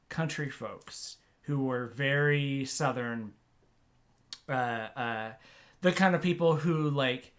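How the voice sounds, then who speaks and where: A woman host from Oklahoma on a livestream, talking in a rambling, hesitant way with many restarts. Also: rumbling low. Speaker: streamer host. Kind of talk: casual livestream chat.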